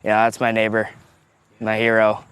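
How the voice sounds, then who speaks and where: A man's voice: on the low side, monotone, normal speed, fairly bright tone, smooth. A young man giving a reflective news interview soundbite. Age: young adult.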